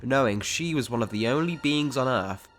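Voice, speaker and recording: A man's voice: medium pitch, animated, normal speed, fairly bright tone. Guy narrating a scripted dramatic story, music playing underneath. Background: background music.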